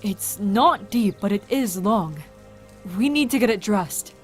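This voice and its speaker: A woman playing a brisk, practical doctor among apocalypse survivors in an audio drama. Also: mid-range voice, animated, soft background music, close to an everyday voice. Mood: urgent, practical. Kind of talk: fan audio drama dub acting.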